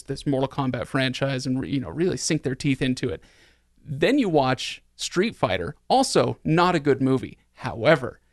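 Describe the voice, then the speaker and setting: A man's voice: medium pitch, no room sound. Guy hosting a casual movie podcast, opinionated, repeats stuff to make his point, really dry audio. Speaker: podcast host. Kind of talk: casual film discussion.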